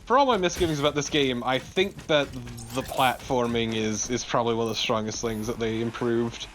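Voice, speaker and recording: Man in mid-pitched banter. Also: brisk, fairly bright tone, a little hiss or hum. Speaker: let's play co-commentator. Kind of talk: casual joking banter.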